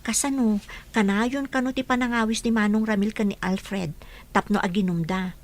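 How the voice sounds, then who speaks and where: A woman's voice: medium pitch, fairly even intonation, moderate pace, natural voice. A woman with an Ilocano accent playing a gossipy, sympathetic young village friend in a radio drama, confiding and insistent.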